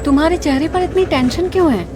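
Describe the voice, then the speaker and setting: A woman's voice: high-pitched, quick pace, background noise, natural voice. A woman playing a confident, teasing young wife in a dramatised audio story. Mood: curious.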